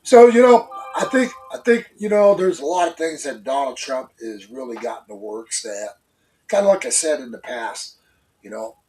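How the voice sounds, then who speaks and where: A man with a stuffed-up, congested voice co-hosts a casual morning talk show. He rambles through his points with plenty of fillers and restarts. His voice sits at a middle pitch and sounds a little distant from the microphone. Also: expressive, normal speed, darkish tone. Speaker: co-host. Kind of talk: casual opinion chat. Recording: voice a bit far from the mic.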